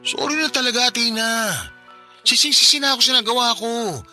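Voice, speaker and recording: A man's voice: very high voice, a little fast, bright, forceful tone, faint music behind, exaggerated voice. A man voicing a remorseful young fiancé who begs and apologises insistently in a Filipino-accented radio drama. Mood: earnest, apologetic. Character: remorseful, pleading young cheating fiancé begging forgiveness.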